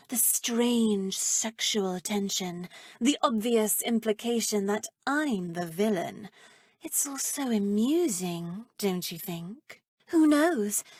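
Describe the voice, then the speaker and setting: A haughty witch who teaches the dark arts for a video game. Her voice sits fairly high and is recorded close and dry. She draws out her words with arch, theatrical menace and a flirtatious edge. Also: no room sound. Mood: seductive teasing, then amused, haughty. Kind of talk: video game character voice acting.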